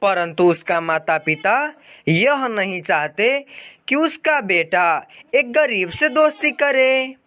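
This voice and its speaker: Children's story narrator: unhurried delivery, lively melodic intonation, scripted read. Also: medium pitch.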